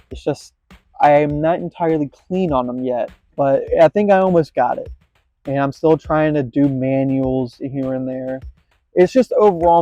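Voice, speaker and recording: A man's voice: a little high, mellow, no echo. Rambling self-deprecating young man, lo-fi. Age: 20s. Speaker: skateboarding vlogger. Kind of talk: casual self-filmed vlog commentary.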